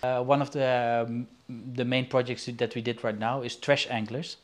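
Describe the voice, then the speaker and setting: A man from the Netherlands in his forties, chatting in an interview. He speaks haltingly, full of fillers and restarts, over a video call from a shelf-lined room. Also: mid-range voice, steady tone. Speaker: guest artist and angler. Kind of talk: casual interview. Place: indoor studio room with shelves.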